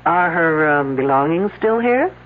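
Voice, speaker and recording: A man's voice: a little high, expressive, a little slow. An actor playing a dry, sarcastic male detective on a radio drama. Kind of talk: 1970s radio drama acting. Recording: tinny old radio broadcast.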